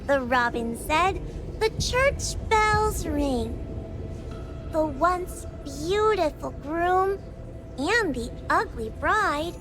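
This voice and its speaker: An excitable young anime game heroine with a very high voice. Her delivery is theatrical and swings widely in pitch, though she takes her words at an easy pace. Music plays behind her. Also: heavily acted. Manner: emphatic, exclamatory, dramatic. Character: excitable, childlike young female detective game character. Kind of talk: animated anime game voice acting.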